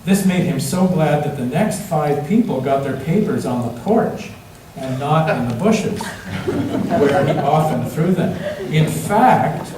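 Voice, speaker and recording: Slow-paced man reading to children, echoey room. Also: fairly high pitch, expressive. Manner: interactive, repeats children's words and asks them simple questions. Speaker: children's story reader at a church service. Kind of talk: storybook read-aloud to young children. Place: large echoey room, church. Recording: echoey room, distant mic, faint noise.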